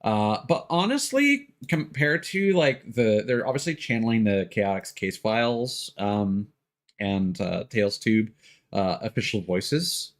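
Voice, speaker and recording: A man chatting casually and haltingly as he commentates on his own video game stream, thinking aloud. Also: fairly low pitch, animated. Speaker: live streamer. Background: video game music.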